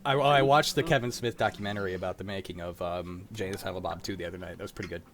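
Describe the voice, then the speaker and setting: A man's voice: sits low, fast, crowd noise. A young man hosting a livestream, chatting casually in a rambling, enthusiastic way. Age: young adult. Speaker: host running a livestreamed script-reading show. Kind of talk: casual group chat.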